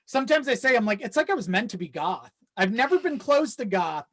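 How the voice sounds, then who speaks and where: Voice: medium pitch, sing-song, brisk. A podcast guest chatting casually in an informal, sweary and self-deprecating way. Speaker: video editor.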